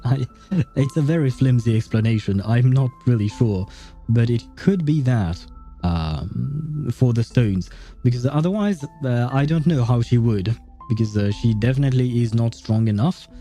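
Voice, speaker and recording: Dark, mellow-voiced man rambling on stream. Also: medium pitch. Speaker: game streamer. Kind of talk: casual livestream commentary.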